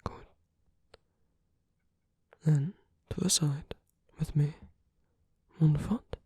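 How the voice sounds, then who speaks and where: A woman performing an ASMR roleplay as a suave, teasing, flirtatious villain, in a breathy, husky half-whisper with slow, coaxing murmurs. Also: medium pitch, steady tone, darkish tone, no room sound, heavily acted. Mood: patient, guiding. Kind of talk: ASMR roleplay, intimate scripted monologue.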